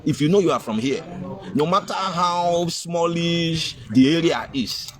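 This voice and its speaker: A man's voice: on the high side, unhurried. A Nigerian man being interviewed outdoors, earnest and arguing his point with rhetorical questions. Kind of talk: street interview, mixing English and Igbo.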